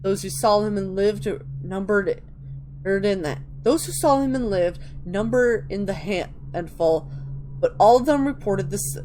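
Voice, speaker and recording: A woman's voice: medium pitch, noisy background. A woman hosting a gaming video, reading in-game texts aloud and commenting casually as she plays.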